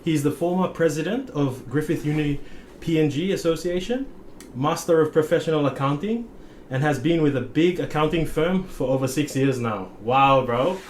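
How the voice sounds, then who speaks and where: A man's voice: a little high, normal speed, slight background noise. Laid-back male podcast host, echoey room. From Papua New Guinea. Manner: relaxed, laughs often, many fillers. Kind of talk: casual interview chat.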